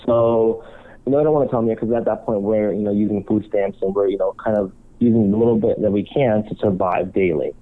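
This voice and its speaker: A man who was born in Cuba and works as a marriage counselor calmly tells his life story as a guest on a talk show. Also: on the low side, fairly quick. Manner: calm, reflective storytelling. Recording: tinny phone-line sound.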